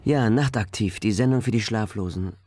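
An actor playing a grieving male late-night radio host in a German radio drama, halting and emotional. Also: lowish voice, noisy background, natural voice. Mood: sad, halting.

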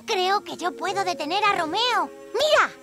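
Woman doing eager little boy hero, really high kiddie cartoon voice, music behind. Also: animated, moderate pace. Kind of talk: children's cartoon dub.

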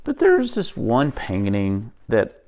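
A man in his forties reading a picture book aloud to young children, expressively and with deliberate pauses. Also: mid-range voice, huge pitch swings, mellow.

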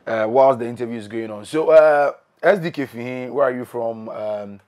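A man's voice: mid-range voice, moderate pace, darkish tone. A young Ghanaian man hosting a casual interview, playful and bantering, slipping into pidgin. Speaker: interview host. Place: small recording studio indoors.

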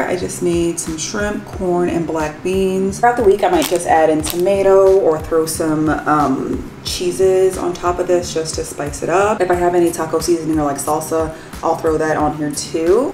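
A young American woman talks casually to her viewers in the manner of a home vlogger. Her voice sits slightly low for a woman. The room adds clear echo, and soft music plays behind her. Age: young adult. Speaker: fitness vlogger and host. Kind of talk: casual vlog chat, explaining to viewers.

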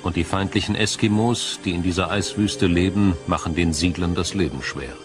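A man reading a scripted, dramatic documentary narration in a measured, solemn storytelling manner. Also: deep voice, over music.

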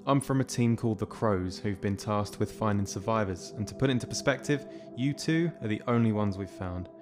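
Weary young soldier played by a male actor, low, dry and sarcastic, audio drama with music underneath. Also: brisk, close to an everyday voice. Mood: urgent, bitter.

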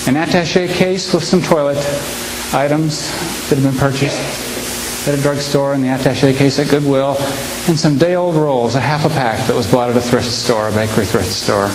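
A man in his fifties giving a humorous speech to a live audience, telling stories with timed pauses and punchlines. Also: mid-range voice, fairly even intonation. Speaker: humorist speaker. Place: large hall with an audience. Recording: echoey hall recording with hiss.

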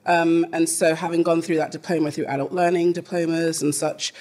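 A woman's voice: low voice, flat delivery, a little fast, a little mellow. Laughing London woman on a stage panel. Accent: London English. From London, England. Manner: chatty and laughing often, with many fillers. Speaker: panel guest. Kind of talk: live panel conversation. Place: theater stage in front of a live audience. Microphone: handheld mic.

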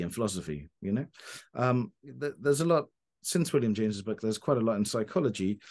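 A man in his forties talks on a video call from a home study full of books. His voice sits low. He speaks haltingly, restarting phrases and leaning on fillers as he thinks aloud. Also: a little mellow. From Cornwall, England. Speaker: interview guest, philosopher. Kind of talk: casual video call interview. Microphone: video call webcam.

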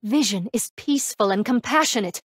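A woman's voice: a little high, expressive, brisk, slightly rough, no echo, studio quality. A woman playing a passionate, defiant young witch in a dramatic video game style, with intense delivery. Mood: pleading, resolute.

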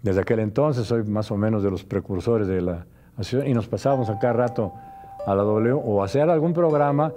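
A man's voice: fairly low pitch, a little fast, dark tone. An older man with a Mexican accent, a veteran announcer reminiscing nostalgically in an interview.

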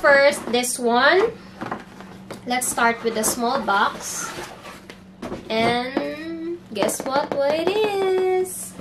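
A woman's voice: high voice, drawn-out words, pauses often, loud music behind the voice. A young woman with a Filipino accent, whose first language is Filipino, chatting casually in a haul vlog from her bedroom. She searches for English words and talks in a hesitant, chatty way. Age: young adult. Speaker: vlogger. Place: bedroom indoors.

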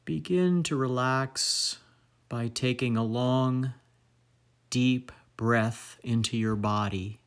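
Male meditation guide, mid-pitched, very slow measured delivery, lingering pauses between phrases.

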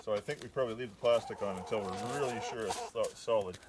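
A man's voice: fairly low pitch, fast talker. Matter-of-fact man outdoors, noisy audio. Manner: practical, matter-of-fact explaining. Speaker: husband. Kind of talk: casual chat. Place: snowy backyard outdoors. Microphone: camera a few meters away.

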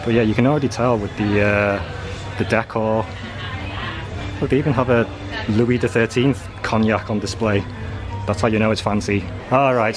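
A man giving a casual walking video tour as a travel vlogger, talking as he shows viewers around. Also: lowish voice. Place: walking around a busy cruise ship, indoors and on open decks. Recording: busy, noisy public ship ambience.

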